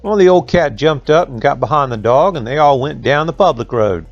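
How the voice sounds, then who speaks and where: A man's voice: mid-range voice, lively intonation, a little fast, no room sound, highs cut off, soft background music. A man telling a folksy tale to children in colloquial dialect. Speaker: storyteller. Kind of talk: folksy oral storytelling for children.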